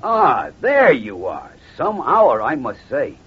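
An actor playing a weary, grumbling American husband in an old crime radio drama, with a colloquial way of talking. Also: male voice, mid-range voice, wildly swooping pitch, takes it slowly. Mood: angry. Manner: colloquial, exasperated. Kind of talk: 1940s-50s crime radio drama acting. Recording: muffled old radio recording with noise.

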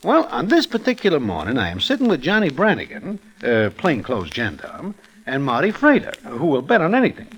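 An actor playing a streetwise Broadway narrator in a 1940s radio drama, telling the tale in slangy, roundabout deadpan. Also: male voice, on the high side, a little fast, husky, heavily acted, rough recording. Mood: wry. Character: wry Broadway storyteller man, streetwise. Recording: old radio broadcast with music.

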